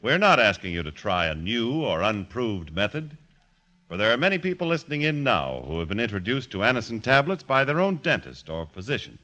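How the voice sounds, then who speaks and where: A man's voice: lowish voice, big pitch swings, fairly quick, sharp tone. A man reading a vintage 1940s radio advertisement as announcer, with polished, emphatic enunciation. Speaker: commercial announcer. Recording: restored old radio broadcast.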